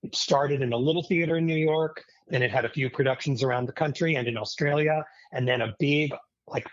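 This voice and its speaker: A man's voice: medium pitch, a little fast, no room sound, highs cut off. A middle-aged American man speaking as a guest in a casual interview, in a reflective, anecdotal way.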